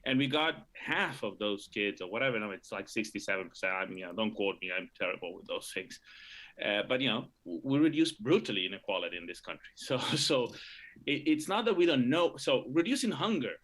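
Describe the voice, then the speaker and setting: Halting Argentine-born man, bright voice. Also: on the low side, big pitch swings. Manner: halting, many restarts and fillers. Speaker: economics professor guest. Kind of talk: live show interview.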